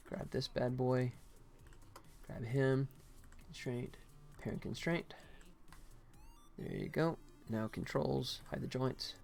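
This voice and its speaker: A man's voice: mid-range voice, gravelly, darkish tone. A man chatting casually as a livestream host and indie developer, rambling and thinking aloud while he works.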